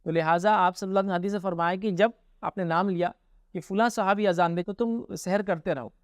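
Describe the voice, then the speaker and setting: A man's voice: sits high, steady tone, fast, clean tone, dry recording, clean studio sound. A man with an Indian accent answering religious questions informally as a scholar, explaining and often checking that listeners follow.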